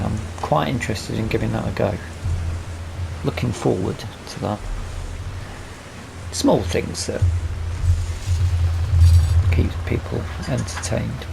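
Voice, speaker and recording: Man, very creaky voice, mid pitch, casual let's-play host, rambling, thinking aloud, clear vehicle noise behind. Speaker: gaming video host.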